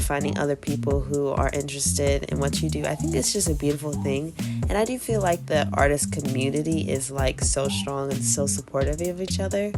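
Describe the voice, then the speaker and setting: Low-voiced woman chatting over music. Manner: chatty, filler-heavy, upbeat. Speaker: art YouTuber. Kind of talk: casual chat while sketching. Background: background music.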